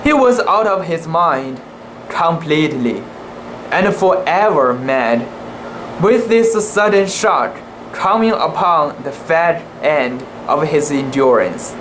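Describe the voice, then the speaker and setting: Amateur narrator, slow deliberate dramatic reading, mid-pitched, halting delivery, background hum. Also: animated, a bit bright, rough recording. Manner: deliberate, halting delivery with stumbles over words. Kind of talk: dramatic reading of a classic sea story, first-person narration.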